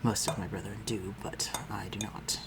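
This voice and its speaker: Man playing a low-voiced aristocratic vampire master. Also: little pitch movement, very noisy, natural voice. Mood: civil, aloof. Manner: formal, measured, condescending yet solicitous. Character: aristocratic male vampire master, civil, protective, coolly superior. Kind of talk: scripted audio roleplay addressed to the listener.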